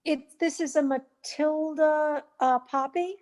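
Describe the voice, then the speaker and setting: A woman's voice: high voice, steady tone, takes it slowly, a little mellow. A woman chatting casually as a participant in a group conversation. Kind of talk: casual chat.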